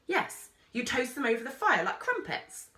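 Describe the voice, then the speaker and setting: A woman's voice: high-pitched, big pitch swings, normal speed, bright, forceful tone, far from the mic, voice pushed up, full character voice. A woman voicing a brusque, wry explorer man with a commanding, matter-of-fact manner in a storybook. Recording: roomy, echoey sound.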